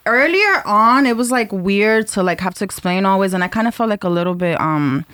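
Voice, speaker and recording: A woman from New in her thirties, chatting as a guest in a lively way, laughing often and mixing in Spanish words. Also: medium pitch, moderate pace, clean tone, dry recording, clean studio sound. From New York (Dyckman, Bronx area). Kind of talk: casual chat. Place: recording studio.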